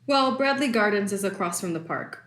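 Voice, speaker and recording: A woman's voice: mid-range voice, expressive, normal speed, a bit bright, close to an everyday voice. A woman playing a protective, worried middle-aged mother of a grown daughter in a naturalistic audio drama, with a concerned manner. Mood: puzzled, apologetic. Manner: concerned, slips into Spanish endearments and phrases. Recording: roomy indoor echo.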